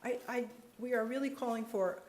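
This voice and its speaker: A woman's voice: a little high, darkish tone. Earnest woman lecturing in echoey hall. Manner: earnest, measured, slows for emphasis. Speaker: psychiatrist speaker. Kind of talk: lecture and audience Q&A.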